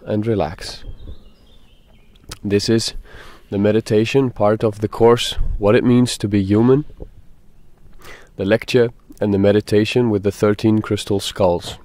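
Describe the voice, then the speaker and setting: A man leading a guided meditation, speaking in a deliberate, halting way with pauses. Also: lowish voice, fairly even intonation, a little mellow, dry recording.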